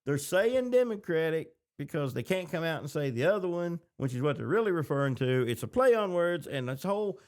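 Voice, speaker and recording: A man's voice: a little high, sing-song, a little fast, hardly any pauses, darkish tone. An American man hosting a podcast, chatting casually about TV shows in reaction to them. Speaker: podcast host.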